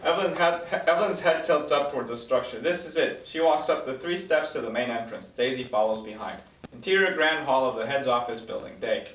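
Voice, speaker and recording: A man narrates scene headings and action from a screenplay at a table read. His voice is mid-pitched, caught from some distance in a roomy, echoing space. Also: animated, a little fast, fairly bright tone. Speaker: stage directions reader. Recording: roomy echo, distant microphone.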